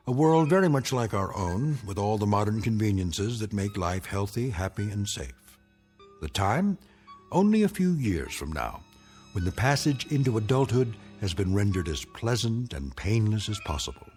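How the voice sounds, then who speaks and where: An actor as the grave, knowing narrator of an eerie radio drama, speaking in a measured, portentous and ironic way. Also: male voice, fairly low pitch, darkish tone.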